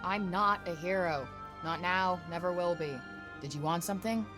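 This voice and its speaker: An actress playing a blunt, reluctant young heroine in a casual, naturalistic video game style. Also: female voice, lowish voice, normal speed, music in the background, lightly acted. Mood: dismissive.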